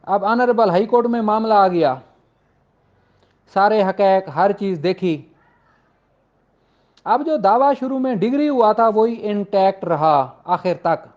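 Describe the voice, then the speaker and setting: A man's voice: sits high, expressive, a little slow, pauses often. A man giving an informal explanatory law lecture, speaking emphatically and repeating points for clarity. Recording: dull, lo-fi audio.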